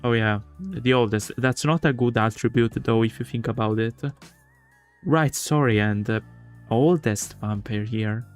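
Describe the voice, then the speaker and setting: A man streaming a game, reading a visual novel aloud with casual commentary and often stumbling over words. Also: medium pitch. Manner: halting, stumbles and repeats words while reading. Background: game music.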